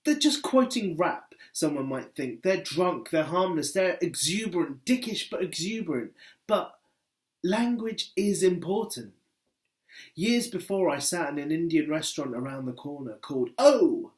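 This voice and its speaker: A man reading a personal essay aloud in a wry, conversational way, leaning on key words for emphasis. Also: mid-range voice. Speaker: book editor and essayist reading his work.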